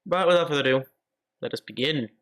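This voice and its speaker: A sick, slightly hoarse man rambling through casual commentary on a gaming livestream, full of fillers and sudden outbursts. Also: on the high side, quick pace, fairly bright tone.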